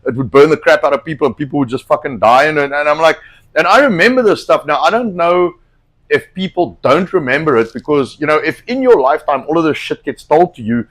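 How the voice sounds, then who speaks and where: A middle-aged man hosting a cooking live stream, chatting bluntly and swearing a lot as he rambles, his voice slightly hoarse from a cold. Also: on the high side, lively intonation, a little fast, fairly bright tone. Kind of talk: casual profane chat while cooking.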